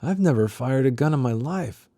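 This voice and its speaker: Man reading as a slangy stoner detective, raised pitch. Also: animated, moderate pace, warm tone, no echo, clean studio sound. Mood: incredulous. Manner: slangy, incredulous. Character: laid-back male stoner private detective. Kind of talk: novel dialogue read aloud.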